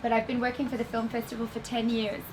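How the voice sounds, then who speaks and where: A woman with an Australian accent giving an explanatory festival talk to an audience, pausing often with ums. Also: a little high, monotone, slight background noise, rough recording. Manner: explanatory, with frequent ums and restarts. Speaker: film festival industry program head. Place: festival venue before an audience.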